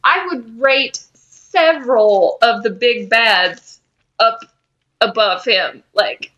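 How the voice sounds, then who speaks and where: Bright, high-voiced woman speaking slowly. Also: muffled recording. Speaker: podcast co-host. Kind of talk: casual banter about a TV show.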